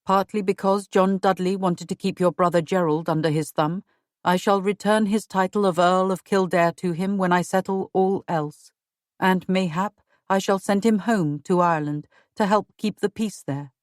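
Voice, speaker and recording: A woman voicing a stern middle-aged Tudor queen who speaks in a measured, commanding way. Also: fairly low pitch, fairly even intonation, no room sound, clean studio sound, natural voice. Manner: commanding, measured royal speech.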